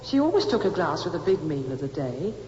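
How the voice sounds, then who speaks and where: A woman's voice: deep voice, sing-song, brisk, mellow. A woman playing a forthright young housemaid giving testimony in an old-time radio drama. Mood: insistent. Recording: echoey, muffled, noisy old radio recording.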